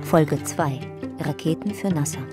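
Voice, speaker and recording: Woman narrator: deep for a female voice, factual clipped reading, clear music bed. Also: measured pace. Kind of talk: scripted narration and credits. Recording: music behind.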